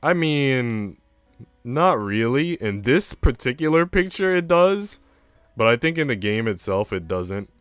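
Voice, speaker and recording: A man's voice: medium pitch, big pitch swings, a little slow, clean tone, no room sound, soft background music. A man livestreaming in casual chat, slangy and bantering with mock outrage.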